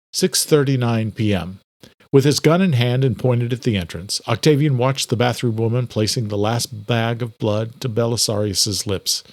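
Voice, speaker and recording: A man's voice: medium pitch, dry recording. Dry-recorded man narrating dramatic fiction. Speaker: audiobook narrator.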